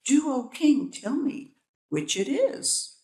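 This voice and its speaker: Woman reader plays regal queen, storybook: formal, measured, animated rise and fall. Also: high voice, voice pushed up, heavily acted. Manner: formal and grand. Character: stately visiting queen posing a riddle. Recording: echoey room.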